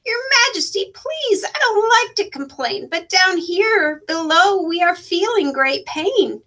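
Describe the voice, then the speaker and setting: A plain little turtle from a children's storybook, acted out by a woman. The voice is very high and slow, meek and polite. He pleads plaintively, like someone small who is suffering under a great weight. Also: lively intonation, a bit bright, heavily acted. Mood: pained pleading. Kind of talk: children's storybook read-aloud.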